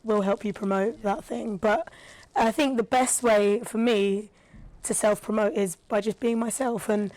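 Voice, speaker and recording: A woman, a rapper and radio presenter, talking casually as a guest with a slightly raspy, tired voice and lots of fillers. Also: mid-range voice, fairly even intonation, unhurried, a little mellow, no echo, low-quality recording. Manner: chatty, thoughtful, with frequent fillers. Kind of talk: casual podcast conversation.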